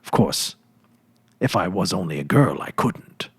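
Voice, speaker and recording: A man's voice: sits low, animated, a little slow, creaky, slightly rough, no echo, pitched below the performer's own voice, heavily acted. A man voicing a confident, eager ten-year-old farm boy in a storybook, earnest and emphatic, with a boastful know-it-all manner. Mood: earnest, curious.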